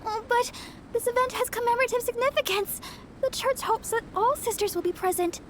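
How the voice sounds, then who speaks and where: A dutiful, meek young nun from a fantasy video game. Her voice is extremely high, hesitant and flustered, with faint background rumble. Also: brisk. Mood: pleading. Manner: hesitant, stammering.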